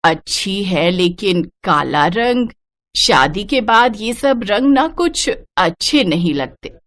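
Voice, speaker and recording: A woman's voice: mid-range voice, animated, slow pace, a bit bright. A woman voicing a bossy, disapproving middle-aged mother-in-law in a cartoon story, scolding and domineering. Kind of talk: animated moral-story cartoon dialogue.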